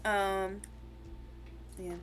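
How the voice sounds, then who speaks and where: A woman's voice: mid-range voice, little pitch movement, very slow. A woman co-streaming in a casual group chat, with music behind her.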